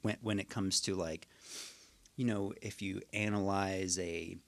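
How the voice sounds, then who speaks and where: A man speaking as a podcast guest, thoughtful and hesitant, with many pauses and restarts. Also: low voice, fairly even intonation, gravelly, dry recording. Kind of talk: casual conversation.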